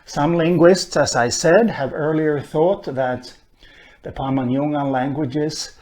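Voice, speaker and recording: A middle-aged man from Sweden, a linguist lecturing at a whiteboard in a room with bookshelves, speaking in a measured way with frequent pauses. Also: fairly high pitch, a little mellow. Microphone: camera at medium distance.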